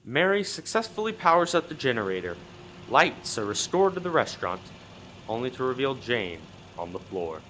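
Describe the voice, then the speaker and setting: A man narrates a radio play in a mid-pitched voice. His delivery is theatrical and swings widely, building from hushed to loud. There is faint noise in the background. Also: sing-song, normal speed. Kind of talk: dramatic scripted storytelling.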